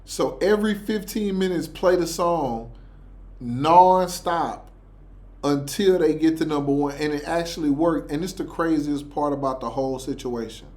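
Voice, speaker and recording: A man with a somewhat high-pitched voice hosts a reaction video. He talks casually and informally, using slang and sounding incredulous as he comments. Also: moderate pace, a little mellow.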